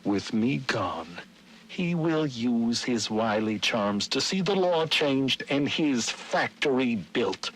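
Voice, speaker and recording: An actor playing a middle-aged Victorian gentleman, a scheming city founder, narrating his journal in formal, dramatic, archaic diction for a video game. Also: mid-range voice, lively intonation, slow pace, a little hiss or hum, low-quality recording. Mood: resigned, foreboding.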